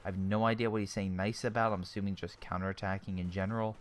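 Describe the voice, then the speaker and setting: A man's voice: low voice, little pitch movement, quick pace. A young man with a sore throat giving casual, chatty commentary on a strategy game replay, often correcting himself mid-sentence and airing blunt opinions.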